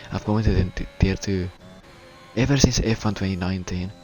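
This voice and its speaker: A Finnish man commentating a sim race in English, a second language for him, with a halting, stuttering delivery. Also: deep voice, a little mellow, faint music behind. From Finland. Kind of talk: live sim racing commentary.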